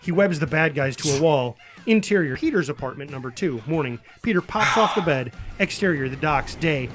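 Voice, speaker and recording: A man's voice: on the high side, expressive, a little fast. A man narrating a screenplay, reading out scene headings and action as a scripted narrator. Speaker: narrator reading stage directions.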